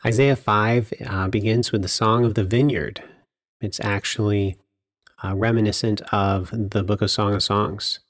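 A man giving an informal devotional Bible lesson on a podcast, explaining things earnestly and deliberately. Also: on the low side, steady tone, no echo, studio quality.

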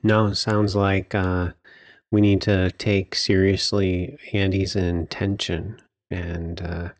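Low-voiced man teaching from the Bible. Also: level tone, slow, no echo, clean studio sound. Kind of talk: informal devotional Bible teaching.

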